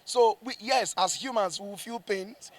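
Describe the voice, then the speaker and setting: Male stage actor, Nigerian accent, high-pitched, reflective interview delivery with emphatic repetition. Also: normal speed.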